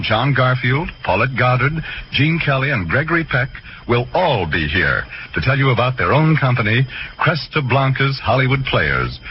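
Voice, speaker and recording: A man's voice: mid-range voice, noisy background. An American man announcing formally and promotionally in scripted 1940s radio style. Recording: muffled 1940s radio broadcast, music behind.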